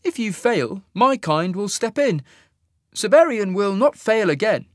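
A man voicing a cold, haughty alien male officer who speaks in a raised, formal, measured way. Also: high-pitched, very animated, no echo, studio quality, voice pushed up.

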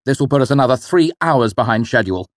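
A man's voice: mid-range voice, animated, fast talker, dry recording, studio quality, pitched above the performer's own voice, close to an everyday voice. A man voicing an irritable father, a traveling actor, grumbling about delays on the road. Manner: grumbling, exasperated.

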